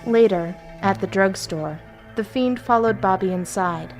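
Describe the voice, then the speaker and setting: A woman narrates a horror story at a very unhurried pace. Her phrasing is measured and deliberate, with frequent pauses, and her pitch sits in the middle. Music plays softly behind her. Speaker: story reader.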